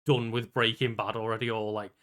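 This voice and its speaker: A man's voice: fairly low pitch, flat delivery, fairly quick, a bit bright. A man talking casually as the co-host of a podcast, in loose conversational speech.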